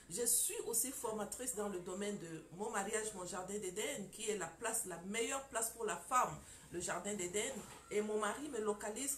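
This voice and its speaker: Woman, middle-aged, preaching testimony, impassioned, repetitive, roomy echo, faint background hum. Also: medium pitch. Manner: impassioned, repeats phrases, rhetorical questions. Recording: echoey room with faint ambience.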